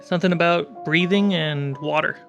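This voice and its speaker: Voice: medium pitch, normal speed, gravelly, a bit bright, highs cut off. A game master narrating a tabletop roleplaying game, describing scenes piece by piece. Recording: background music under the voice. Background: music.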